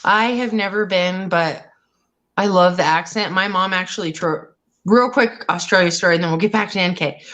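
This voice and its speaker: A woman's voice: lowish voice, moderate pace, fairly bright tone, highs cut off. A woman hosting a casual live chat, rambling and trailing off as she talks, from Colorado. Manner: chatty, rambling, trails off mid-sentence. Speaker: live stream host.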